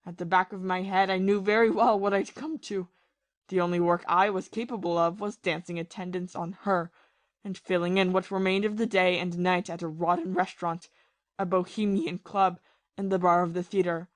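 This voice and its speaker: A woman playing a bitter, lovesick gentleman captain. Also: lowish voice, steady tone, no echo, close to an everyday voice. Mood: self-loathing. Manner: confessional, impassioned, halting with repeated phrases. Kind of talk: audio play acting of a period drama.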